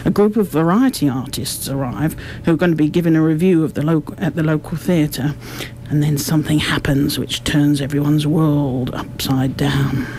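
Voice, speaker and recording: A woman's voice: low-pitched, moderate pace, few pauses, mellow. A woman giving articulate, explanatory, prepared-sounding answers in a radio interview. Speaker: amateur drama group member being interviewed. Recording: noticeable background noise.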